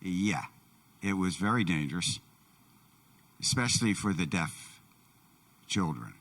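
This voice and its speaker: Deep-voiced male interpreter, heard from afar. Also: animated, a little slow, a bit bright, recorded from a distance. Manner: plain, brief answers. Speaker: interpreter voicing a deaf guest's signing. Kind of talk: voicing answers in an interview. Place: church sanctuary.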